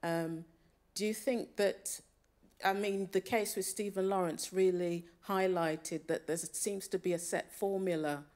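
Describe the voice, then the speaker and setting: A woman's voice: fairly low pitch, darkish tone. A woman asking a question from the audience at a public discussion, phrasing it earnestly and carefully. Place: theatre auditorium.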